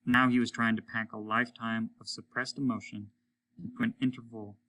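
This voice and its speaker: A man reading a story aloud as dramatic narration, deliberate and expressive. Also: medium pitch, slight background noise, low-quality recording. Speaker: story reader, teacher.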